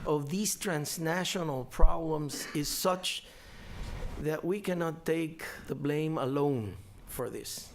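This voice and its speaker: An older man from Central America answering audience questions on a panel in a measured, deliberate way, often restarting his phrases. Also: fairly high pitch, a little hiss or hum. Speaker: panelist, former vice president.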